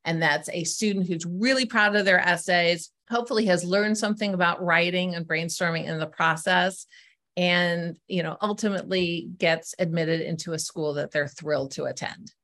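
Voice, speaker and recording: A woman's voice: lowish voice, moderate pace, a bit bright. A middle-aged woman, a guest on a podcast, explaining her work as an essay coach in an earnest, step-by-step way. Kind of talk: explanatory interview answers.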